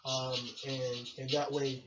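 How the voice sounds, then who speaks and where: A man's voice: medium pitch, flat delivery, slow, very noisy. A man hosting and DJing a radio show, talking in a chatty, friendly, loose way. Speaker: radio host and DJ. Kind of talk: casual radio show talk. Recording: dull lo-fi recording.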